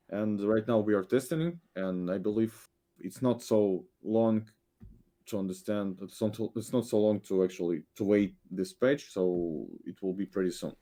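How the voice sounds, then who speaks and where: A man, a game director speaking haltingly on a video call, with many fillers and restarts. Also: on the low side, dark tone. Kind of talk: podcast guest update, halting.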